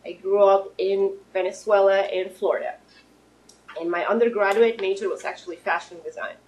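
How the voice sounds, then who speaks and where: A woman's voice: medium pitch, fairly even intonation, normal speed, darkish tone, rough recording. A woman in her thirties from Russia, giving a friendly, informal presentation of her fitness business to an audience, with restarts and fillers. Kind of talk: pitch presentation to a live audience.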